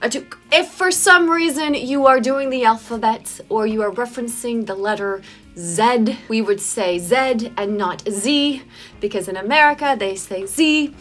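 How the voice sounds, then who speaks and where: A woman's voice: fairly high pitch, normal speed. A young woman with a Toronto Canadian accent, chatting playfully to the camera in a vlog and laughing often. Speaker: vlogger. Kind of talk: casual vlog talk to camera. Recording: faint music underneath. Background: soft background music.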